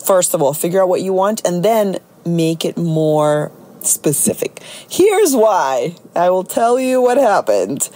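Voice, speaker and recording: A middle-aged woman with a slightly raspy voice, talking casually and chattily as a solo podcast host and coach. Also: lowish voice, very animated, measured pace, dark tone.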